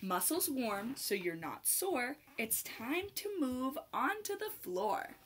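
A young adult woman reading a rhyming picture book aloud to children in an expressive, sing-song manner with clear phrasing. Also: high voice, takes it slowly, a little hiss or hum. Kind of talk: children's read-aloud, rhymed storytelling.

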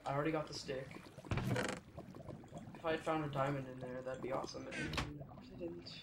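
A man's voice: medium pitch, steady tone, moderate pace. A teenage boy giving rambling, chatty commentary while playing a video game, often correcting himself mid-sentence. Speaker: gaming YouTuber. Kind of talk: casual Let's Play commentary. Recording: echoey room with noise behind.

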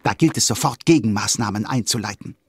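A man playing a cheerful storyteller, narrating a German children's cartoon audio drama with animated delivery. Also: lowish voice, brisk, slightly rough, no room sound. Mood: wry, lively.

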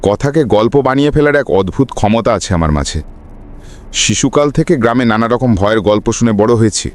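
A man narrates a ghost story as a dramatic scripted reading. His delivery is suspenseful and expressive, with lively movement in pitch that carries the tension. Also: brisk.

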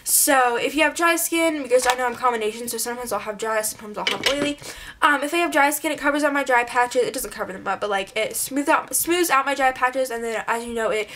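A woman's voice: high-pitched, fast, pushed and bright, a little hiss or hum. A woman reviewing her favorite beauty products in a chatty, rambling way while losing her voice and congested, recorded on a close camera in a small bedroom. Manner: chatty, rambling, filler-heavy. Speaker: beauty vlogger. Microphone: camera mic at close range.

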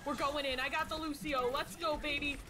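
A woman's voice: sits high, brisk, over a noisy crowd. A woman streaming a team shooter, chatting casually, cheering and swearing as she plays. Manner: excitable, swears and cheers during play. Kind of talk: casual gaming chat. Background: game music and sound effects.

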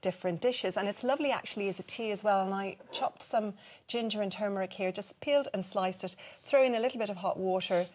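A woman in her thirties with an Irish accent, a nutritionist explaining kitchen spices on a TV morning show, brisk and upbeat. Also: medium pitch, darkish tone. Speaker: nutritionist guest. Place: TV studio, at a kitchen counter. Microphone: lapel mic.

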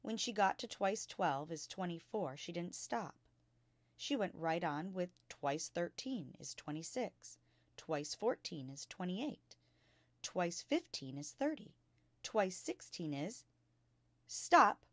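A woman's voice: fairly low pitch, expressive, slow pace, no room sound. Woman storyteller with a dry, close sound. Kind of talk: children's book narration, read aloud.

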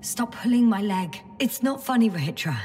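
A woman's voice: on the high side, expressive, moderate pace, a little mellow, distant mic, a little hiss or hum, lightly acted. An actress playing a weary, resourceful female space scientist in a video game, speaking naturally and sometimes muttering to herself. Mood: exasperated, placating.

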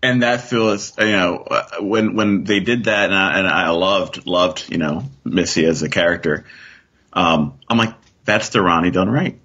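Low, husky, rambling man. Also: unhurried, fairly bright tone. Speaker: podcast guest. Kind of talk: casual chat. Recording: dull, muffled lo-fi sound.

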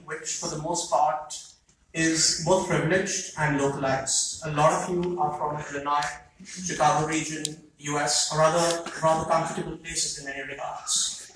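A young man with an Indian accent speaks in an articulate, impassioned way, his voice sitting a bit high. He is recorded by a phone far back in a large hall. The room adds heavy echo and a light hiss. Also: a bit bright. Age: young adult. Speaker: student speaker at public comment. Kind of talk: impassioned public statement. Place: large meeting hall, heard from the audience.

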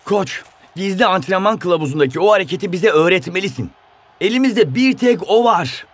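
A male actor voicing an anxious, earnest young football hero in a cartoon dub, urgent and excitable. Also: high voice, brisk, a little hiss or hum. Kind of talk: animated cartoon dub acting. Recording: music behind the voice.

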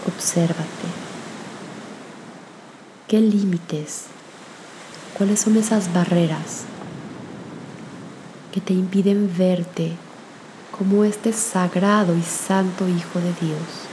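A woman leading a guided spiritual meditation in a gentle, soothing manner with long pauses. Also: lowish voice, steady tone, noisy background.

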